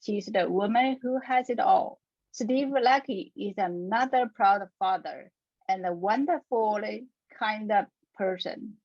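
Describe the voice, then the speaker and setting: A woman's voice: medium pitch, unhurried, no echo. A woman moderating a panel on a webinar, speaking carefully with small restarts. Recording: dull, dry video-call audio.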